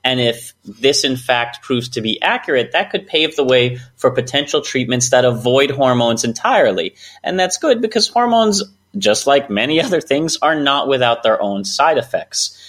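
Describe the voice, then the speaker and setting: A man's voice: mid-range voice, very animated, normal speed, a bit bright. A man co-hosting a science podcast, reading health news in a wry, self-deprecating way. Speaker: podcast co-host. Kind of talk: casual science news chat.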